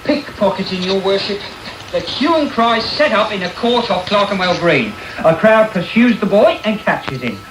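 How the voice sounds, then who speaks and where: Bluff police constable, English, brisk and rough, animated, noisy old radio drama recording. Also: male voice, sits high, roomy sound. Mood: matter-of-fact. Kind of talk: period radio drama acting. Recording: noisy medium-wave radio taping.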